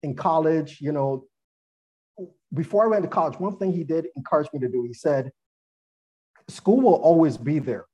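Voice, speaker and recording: A man speaks as a podcast guest, his tone earnest and reflective, with light laughs mixed into his stories. His voice is somewhat husky and warm. He sits a little high in pitch for a man. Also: moderate pace, long pauses, rough recording. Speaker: guest, former athlete and attorney. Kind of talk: podcast interview storytelling.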